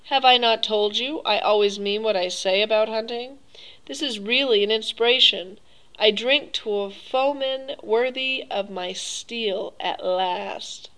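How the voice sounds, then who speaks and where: A woman reading a story aloud, voicing a suave and cruel aristocratic male Cossack hunter with silky, courteous, drawn-out phrasing. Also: a little high, fairly bright tone, poor audio.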